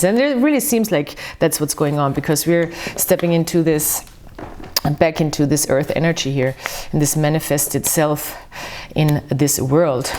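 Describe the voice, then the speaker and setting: A woman whose first language is not English, reading tarot cards to camera in a soft, musing way. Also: low-pitched. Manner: soft, musing, reads slowly from a book. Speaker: tarot reader.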